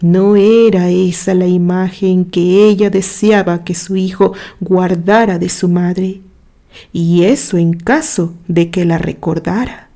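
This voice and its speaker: Slow, mellow woman storyteller. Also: lowish voice. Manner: measured, expressive, sentimental. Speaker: story narrator. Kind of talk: scripted literary narration.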